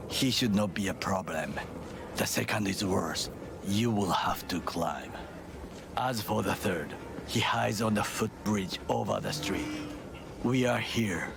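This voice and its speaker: An actor playing a stern, formal older man with a Japanese accent in a video game, speaking in measured, deliberate phrases. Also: fairly low pitch, creaky. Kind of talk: dramatic video game acting.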